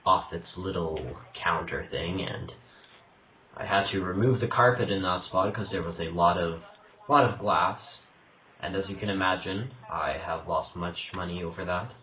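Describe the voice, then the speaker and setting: Low-voiced young guy on a prank call, stammery, tinny phone line, echoey room. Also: moderate pace, slight background noise. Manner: hesitant, stammering, mock-earnest. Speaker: prank caller. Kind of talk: prank phone call, posing as a troubled single father.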